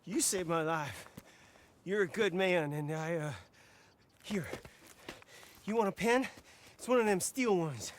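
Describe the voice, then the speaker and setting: A man's voice: sits high, measured pace, long pauses, a little hiss or hum. An actor playing a nervous, stammering townsman in an Old West video game. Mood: grateful. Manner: jittery, stammering. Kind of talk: Western video game acting.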